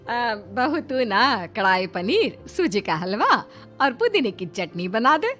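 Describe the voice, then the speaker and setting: A woman acts out an elderly cartoon mother-in-law with a high voice over background music. She is possessive and demanding, wheedling one moment and ready to quarrel the next, with emphatic delivery. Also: sing-song, slow pace, fairly bright tone, full character voice. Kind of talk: animated moral-story dialogue.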